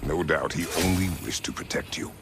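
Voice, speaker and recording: A man voicing a stern, weary Spartan warrior god in a video game, terse, formal and grave, with a very deep gravelly voice. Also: fairly quick, music in the background. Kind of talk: video game character acting.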